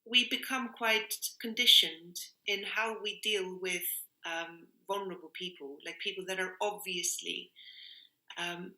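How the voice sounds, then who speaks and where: A woman talks in a very bright, forward voice with a noticeable room echo. She is earnest and reflective, feeling for the right phrase and restating it for emphasis. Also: lowish voice, fairly even intonation, unhurried. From Iceland. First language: Icelandic. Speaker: interviewed music workshop leader. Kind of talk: reflective conversational interview. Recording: roomy, echoing sound.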